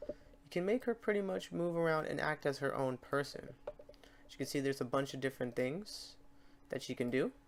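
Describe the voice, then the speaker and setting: A man hosting a software tutorial in a casual, unscripted way, talking to himself as he works through the steps. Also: a little high, a little hiss or hum. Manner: informal, talks to himself while clicking through steps. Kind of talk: casual unscripted screen-recorded tutorial narration.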